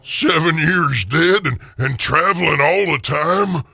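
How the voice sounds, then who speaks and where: A man's voice: fairly high pitch, slow, vocal fry, pushed and bright, breathy edge, big-room sound, voice pushed up, heavily acted. A man playing a nervous, crotchety old miser who stammers querulously in a radio drama. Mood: puzzled. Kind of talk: radio theater acting.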